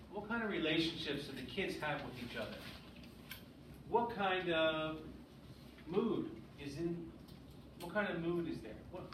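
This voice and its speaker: Man teaching, echoey and far from the mic. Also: a little high. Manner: questioning, checks understanding with frequent "right?". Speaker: sixth grade English teacher. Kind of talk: classroom lesson with read-aloud. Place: classroom. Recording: echoey, noisy room, distant mic.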